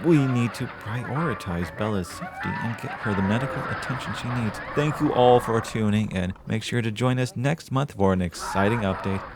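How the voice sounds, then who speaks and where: A man's voice: fairly low pitch, moderate pace, few pauses, close to an everyday voice. A man playing a cheery, genteel old-time radio host, speaking in an effusive, theatrical and formal announcer manner. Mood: flustered. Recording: music behind the voice.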